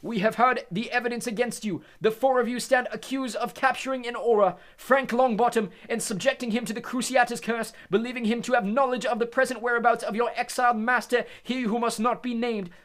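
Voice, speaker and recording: A man's voice: extremely high pitch, fairly even intonation, a little fast, few pauses, fairly bright tone, voice pushed up. A man voicing a stern, furious older judge who bellows his verdicts. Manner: bellowing, cold and harsh.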